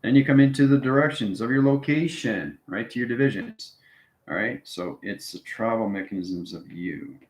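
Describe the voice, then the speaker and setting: A man's voice: mid-range voice, expressive, normal speed. A man giving an informal live lesson to viewers as an online teacher, repeating himself and often checking in with "okay" and "right". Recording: roomy, echoing room sound.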